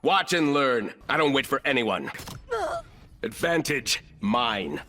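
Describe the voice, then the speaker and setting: A man's voice: mid-range voice, big pitch swings, slow pace, bright, forceful tone, full character voice. A man voicing a ruthless, arrogant military operator in a shooter game, giving clipped, commanding combat callouts in a cold, contemptuous manner. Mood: cold, menacing.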